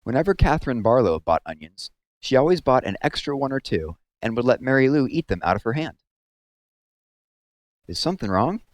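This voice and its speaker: A man narrating a story aloud as a scripted read-aloud, with measured, expressive phrasing. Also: mid-range voice, dry recording.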